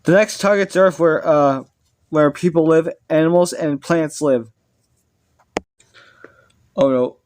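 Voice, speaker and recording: A man's voice: fairly high pitch, slow pace, pauses often, darkish tone, slight background noise. A man acting a casual young guy breaking doomsday news in an amateur audio drama. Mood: urgent, casual.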